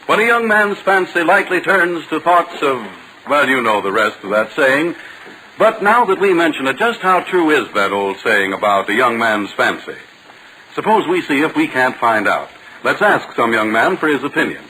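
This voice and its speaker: Animated, bright-voiced man announcing vintage radio. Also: mid-range voice, moderate pace, rough recording. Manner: polished, theatrical salesman delivery. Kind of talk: 1940s radio advertising and scripted narration. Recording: hissy vintage 1940s radio recording.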